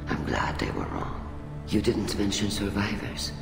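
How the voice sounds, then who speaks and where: A man's voice: on the low side, huge pitch swings, a little slow, gravelly, full character voice. An actor playing a solemn, fatherly older alien admiral in a video game, grave and formal. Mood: gentle, grave. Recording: echoey hall, music behind.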